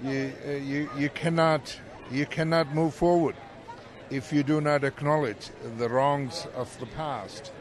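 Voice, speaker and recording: A man's voice: fairly high pitch, fairly even intonation, measured pace. Emphatic man at a rally. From Pakistan. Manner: emphatic, repeating words for force. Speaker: rally attendee. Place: outdoors at a rally.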